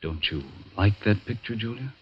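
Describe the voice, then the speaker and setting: An actor playing a calm middle-aged family doctor in 1940s radio drama, measured, reassuring and gently probing. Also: male voice, fairly low pitch, slightly rough. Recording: dull vintage radio recording.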